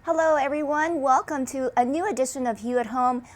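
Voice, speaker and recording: A woman's voice: high voice, a little fast. A woman hosting an interview show in a bubbly, playful way, laughing often. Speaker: host. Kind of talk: casual interview chat.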